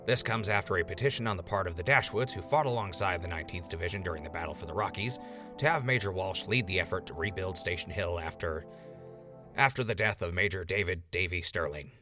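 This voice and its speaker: A man's voice: sits low, fast talker, natural voice. A man playing a chipper wasteland radio host, delivering upbeat, wry announcer patter in an audio drama. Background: music.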